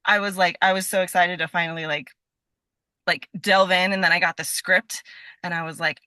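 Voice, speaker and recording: A woman's voice: fairly low pitch, steady tone, normal speed, sharp tone, dry recording. A woman being interviewed as a guest, chatting casually in a scattered, enthusiastic way and peppering her sentences with "like". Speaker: guest, voice actor.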